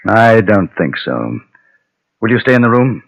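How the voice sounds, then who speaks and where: An actor playing a calm, probing western gunman who mediates, measured and terse, in vintage radio drama. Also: lowish voice, creaky, full character voice. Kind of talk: Golden Age radio western acting with first-person narration. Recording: dull vintage radio with background din.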